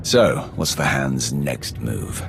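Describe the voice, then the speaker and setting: A man's voice: rumbling low, lively intonation, takes it slowly, creaky, background noise, heavily acted. An actor playing a blunt, commanding male Sith leader in a game cutscene. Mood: stern. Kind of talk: video game cutscene acting. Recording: music score behind.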